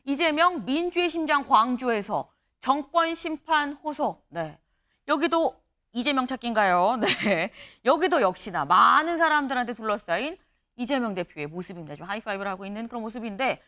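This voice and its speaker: A woman's voice: a little high, animated, normal speed, pushed and bright. A woman news anchor hosting a morning news talk show, chatty and laughing with her guests. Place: TV studio.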